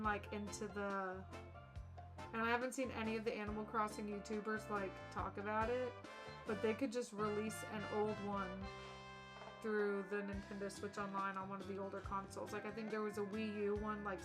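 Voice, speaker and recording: A woman chatting casually on a live game stream in an informal, rambling way. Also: mid-range voice. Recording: game music behind the voice. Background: video game music.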